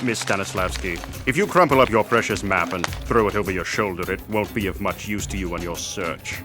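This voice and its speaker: A man's voice: low voice, brisk, music in the background, close to an everyday voice. An actor playing a droll, poetic male archaeologist in audio theatre, speaking in a formal, dryly teasing way.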